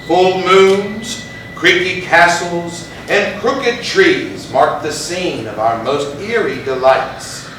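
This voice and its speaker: A man playing an ominous, grandiose horror storyteller with a florid, dramatic, drawn-out delivery on stage. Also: high-pitched, fairly bright tone. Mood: ominous relish. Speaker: introducer of stage readings. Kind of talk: theatrical gothic horror introduction. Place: live theatre stage, recorded from afar. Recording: echoey distant hall, faint noise.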